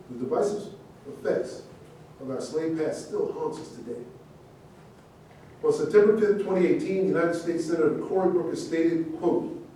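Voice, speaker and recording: A man's voice: warm tone, natural voice. A man in a staged reading, playing a proud, aggrieved fallen public figure who speaks in oratorical, emphatic phrases. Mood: earnest, aggrieved. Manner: oratorical, rhetorical questions, emphatic pauses. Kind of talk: theatrical monologue, staged reading. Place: indoor room at a staged reading. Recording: very echoey room, distant mic, faint rumbling noise.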